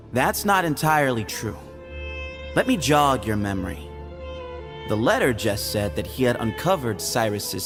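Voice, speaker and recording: A man's voice: mid-range voice, gravelly, heavily acted. A man playing a sly, easygoing young desert dweller in a video game, breezy, teasing and glib. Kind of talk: video game character acting.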